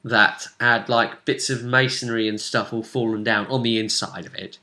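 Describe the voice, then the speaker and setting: A man's voice: medium pitch, fairly even intonation, normal speed, pushed and bright. A man speaking as game master, casually recapping a tabletop game session and often thinking aloud as he goes.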